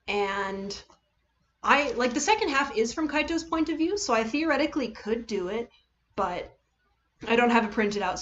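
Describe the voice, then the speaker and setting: A woman's voice: mid-range voice, a little hiss or hum. Rambling woman on a dull-sounding livestream. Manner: halting and rambling, trailing off and self-correcting. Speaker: livestream host reading fan fiction. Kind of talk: casual livestream chat with viewers.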